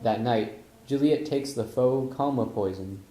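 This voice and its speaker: A man's voice: mid-range voice, a little slow, dark tone. A man narrating a comic storybook script with casual slang, recorded in an echoey room.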